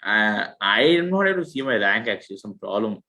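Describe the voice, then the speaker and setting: A man's voice: lowish voice, big pitch swings, a little fast, pushed and bright. A man hosting a podcast, speaking casually and trailing off hesitantly. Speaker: podcast host. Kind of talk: casual chat.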